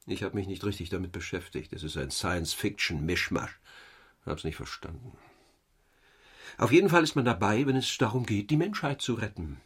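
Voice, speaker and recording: An actor playing a guarded, reluctant company man in a radio drama, husky-voiced and uneasy in manner. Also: on the low side, lively intonation, moderate pace. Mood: explaining, grave. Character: evasive, reluctant corporate representative under questioning. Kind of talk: German radio drama acting.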